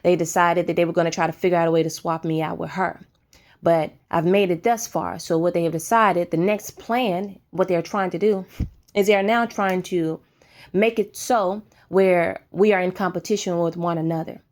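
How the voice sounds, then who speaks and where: A woman's voice: lowish voice, fairly even intonation, moderate pace. A woman giving a casual spiritual testimony, earnest and insistent, who keeps tagging her points with "right?". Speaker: vlogger sharing spiritual testimony. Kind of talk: casual monologue.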